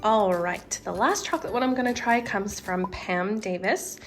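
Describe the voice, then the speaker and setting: A young woman vlogging casually from home, her voice raspy and hoarse from being sick, chatty and upbeat. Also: mid-range voice, moderate pace, faint music behind. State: hoarse from illness. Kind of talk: casual recipe vlog. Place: living room at home, indoors.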